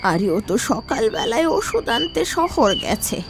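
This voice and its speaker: Village mother: indignant, protective, expressive pitch, cartoon dialogue with background music. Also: moderate pace, gravelly. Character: defensive village mother protecting her son.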